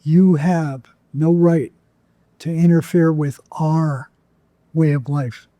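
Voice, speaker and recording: A man's voice: fairly high pitch, fairly even intonation, takes it slowly, warm tone, no room sound. A man telling stories as an interview guest in a conversational, rambling way, often acting out quoted dialogue. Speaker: podcast guest, psychotherapist.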